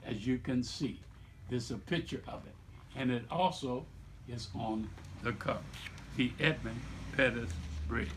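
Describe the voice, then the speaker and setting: A man reading aloud to children like a teacher, with deliberate, emphatic phrasing and explanatory asides. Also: medium pitch, a bit bright, slight background noise. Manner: deliberate, emphatic phrasing with repetition for young listeners.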